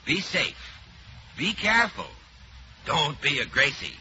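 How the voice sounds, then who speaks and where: High-voiced male 1940s radio announcer. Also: big pitch swings, a little slow, heavy background noise. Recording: muffled 1940s radio broadcast with music.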